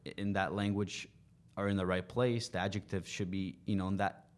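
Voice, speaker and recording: A man's voice: low-pitched, fairly even intonation, fairly quick. A man in his twenties, a language coach, explaining his advice in a casual podcast interview, with many "you know" fillers. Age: 20s.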